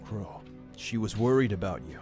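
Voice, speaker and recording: An actor playing an earnest, apologetic military general with formal wording, in a dramatic comic dub. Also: male voice, fairly low pitch, normal speed, a little mellow, soft background music, natural voice. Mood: regretful.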